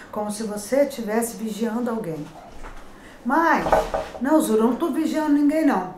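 Chatty Brazilian tarot reader in echoey room. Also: female voice, a little high. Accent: Rio de Janeiro Portuguese. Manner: chatty, warns and advises, calls out each sign with lifted voice. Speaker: tarot reader and horoscope host. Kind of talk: daily horoscope reading to camera. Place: pink-walled room at a table with cards. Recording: echoey room, faint noise.

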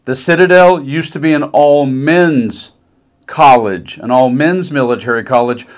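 A man's voice: medium pitch, measured pace. A middle-aged man giving sarcastic, mocking political commentary in a conversational way. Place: small decorated room indoors.

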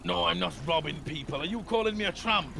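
A gravelly, cagey pirate fisherman, as a man puts him on for a roleplay game, talking in old sea-dog slang. The voice sits a bit high and rough with fry, and a faint hum runs underneath. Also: fast, sharp tone, heavily acted.

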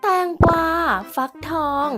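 A woman storyteller narrating a scripted tale for children. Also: slow pace. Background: children's music.

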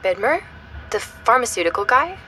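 A woman's voice: on the high side, sing-song, normal speed, bright, forceful tone, slight background noise. An actress playing a curious, attentive young woman investigator listening on a call in an audio drama.

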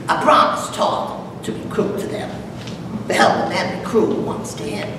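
A woman's voice: mid-range voice, lively intonation, normal speed, natural voice. Woman acting haunted old farm widow, echoey hall. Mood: eerie, wry. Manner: theatrical, storytelling. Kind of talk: dramatic poetry reading. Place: large lecture room, at a podium. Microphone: distant camera microphone. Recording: echoey hall from far, noisy.

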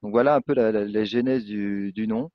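A young man presenting in a webinar from his office, halting and full of fillers and restarts. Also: on the low side, dry recording. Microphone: video call. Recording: muffled video call audio.

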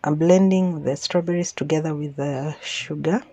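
A woman's voice: deep voice, fairly even intonation, normal speed, dark tone, husky, no echo. A woman hosting her home cooking channel, talking casually over the footage with frequent restarts and ums.

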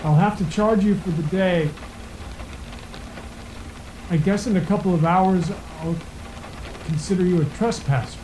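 An actor playing a rule-obsessed rental owner in a horror audio drama, measured and politely matter-of-fact in his menace. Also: high voice, warm tone, reverberant, slight background noise, poor audio. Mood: stern, polite.

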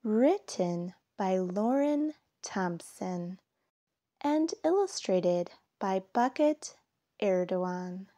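A woman reading a children's picture book aloud, with deliberate phrasing and expressive emphasis. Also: medium pitch. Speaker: storybook reader.

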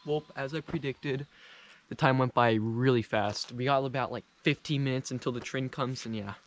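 Young male travel vlogger, casual rambling delivery, medium pitch, self-deprecating. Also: moderate pace. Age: young adult. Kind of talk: casual chat to camera. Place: outdoors at a rural mountain train station in rain.

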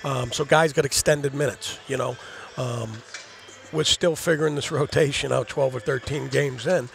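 A middle-aged man talks through a postgame interview as an assistant coach, his voice gravelly with a rough, creaky edge. He rambles and repeats words while he thinks, speaking into a headset mic. His pitch sits in an ordinary middle range. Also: steady tone, moderate pace. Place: studio set in a gym, indoors.

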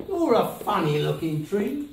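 A man's voice: sits high, sing-song, measured pace, creaky, full character voice. A man playing a bossy, comic robber gang leader in an amateur pantomime, hammy and colloquial. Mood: exasperated. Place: village hall stage, indoors. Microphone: distant audience camera. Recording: echoey village hall, distant, loud noise.

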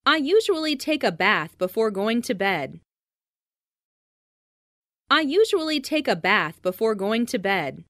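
A woman narrates a language lesson at a very unhurried pace. Her fairly high voice rises and falls animatedly as she reads each example sentence with care. The sound is close and dry. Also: very animated, a bit bright, no room sound. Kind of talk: scripted example sentences read slowly for learners.

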